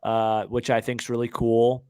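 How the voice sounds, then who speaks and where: A man's voice: mid-range voice, level tone, a little slow, darkish tone, clean tone, dry recording. Casual male sports podcaster, dry close sound. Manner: repeats words, checks in with "you know what I'm saying".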